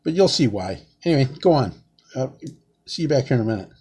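A man's voice: medium pitch, expressive, quick pace, a little mellow, husky. A man in his sixties chatting casually in his home kitchen as he peels shrimp, rambling and saying "man" a lot. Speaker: home cooking vlogger. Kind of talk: casual cooking demonstration. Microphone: camera close on a table.

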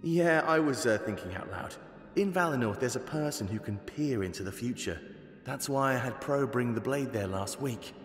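A man's voice: medium pitch, animated, normal speed, soft background music, natural voice. A man playing a solemn, calculating animal rebel leader in a fantasy video game. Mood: thoughtful. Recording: echoey cavernous reverb.

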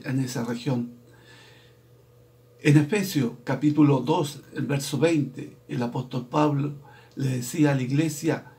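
Middle-aged male lay preacher, very slow and deliberate delivery, frequent throat clearing, webcam sermon from a small home room. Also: medium pitch, mellow. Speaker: preacher's assistant. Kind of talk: slow sermon with scripture reading. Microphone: webcam at desk, video call framing.

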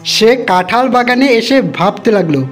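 A man's voice: very high-pitched, animated, normal speed, soft background music. A man reading scripted storybook narration for a children's cartoon.

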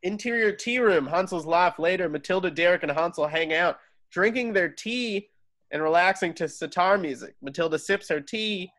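A man's voice: high-pitched, moderate pace, a bit bright. A man reading scripted stage directions as the narrator of a table read. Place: at home on a video call.

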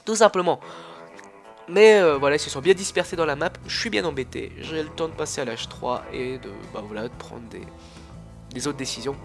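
A man's voice: fairly high pitch, brisk, creaky, faint music behind. A young man with a cold who coughs now and then, chatting casually and rambling through his commentary on a strategy game, full of fillers and restarts. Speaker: gaming YouTuber.

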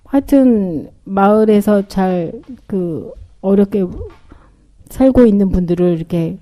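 A middle-aged woman talks as a guest on a radio interview. Her voice is very soft and dark, at an average pitch. She explains in an informal, conversational way. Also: slow, low-quality recording. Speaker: volunteer group member, interview guest.

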